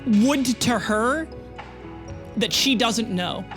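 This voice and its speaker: Game master narrating over clear background music. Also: mid-range voice, lively intonation, takes it slowly. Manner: conversational, uses "like" often. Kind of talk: narrating a tabletop roleplay audio drama. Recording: music under the voice.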